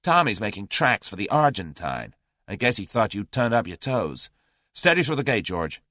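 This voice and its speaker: A man voicing a brash, confident young American man with a brisk, folksy drawl. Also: on the low side, big pitch swings, bright, forceful tone, no echo, clean studio sound, exaggerated voice. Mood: brisk, commanding.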